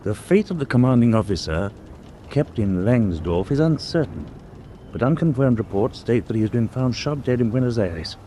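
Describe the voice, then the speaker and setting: Male actor as educated southern English Admiralty official, radio drama: articulate, mid-pitched, expressive intonation, considered phrasing. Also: moderate pace, slight background noise, lightly acted. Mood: reading aloud. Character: well-informed English Admiralty gentleman, calm and measured.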